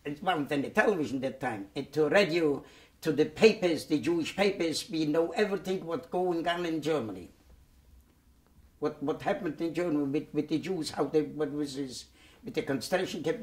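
A man, giving oral history testimony in a halting way, with many repeated words and restarts. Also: a little high, a little mellow. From Poland. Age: 90s. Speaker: Holocaust survivor giving testimony. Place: dark studio set, indoors.

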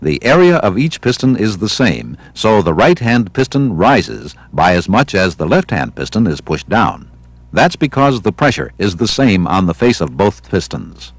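An American man reading scripted instructional narration for a training film in a measured, didactic way. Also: lowish voice, very animated, husky, no room sound. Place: studio voice-over.

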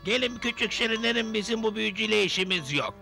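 A man playing a small, wise old cartoon village leader with a creaky voice and a firm, fatherly manner. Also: high voice, lively intonation, fast talker, bright, forceful tone, faint music behind, full character voice. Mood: stern, wary. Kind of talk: animated cartoon dubbing.

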